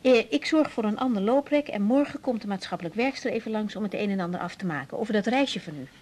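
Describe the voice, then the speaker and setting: A woman's voice: mid-range voice, lively intonation, quick pace, darkish tone, slight background noise, natural voice. An actress playing a caring, informal young female doctor in a naturalistic radio drama. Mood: friendly, brisk. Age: young adult.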